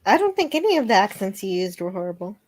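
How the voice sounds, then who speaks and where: A woman's voice: mid-range voice, big pitch swings, quick pace. A woman reading with friends on a show, chatting in a friendly, conversational way. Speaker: co-reader on the show. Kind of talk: casual livestream chat.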